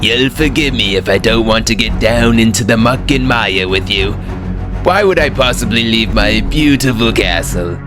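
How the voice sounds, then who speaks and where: A man's voice: fairly low pitch, animated, normal speed, over music, natural voice. A man voicing a smug, decadent lord who taunts his enemies in a condescending drawl, in a fan dub. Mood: mocking.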